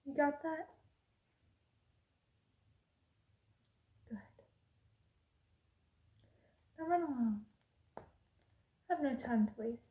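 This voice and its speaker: A woman playing a haughty, cruel evil queen in an ASMR roleplay, speaking in an imperious, deliberate, menacing way. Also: sits high, expressive, warm tone, rough recording. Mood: scornful, irritated. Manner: imperious, slow and deliberate, drops into menacing whispers.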